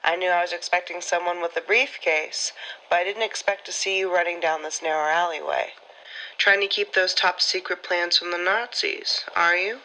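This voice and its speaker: A woman's voice: fairly low pitch, fairly even intonation, moderate pace, pushed and bright, rough recording. A woman playing a menacing, taunting female wartime double-crosser in a radio drama.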